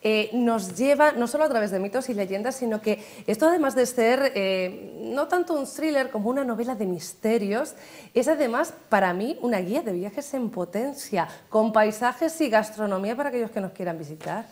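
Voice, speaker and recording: A woman hosting an interview in a TV studio, leading with lively, chatty questions. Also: fairly high pitch, normal speed. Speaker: TV host.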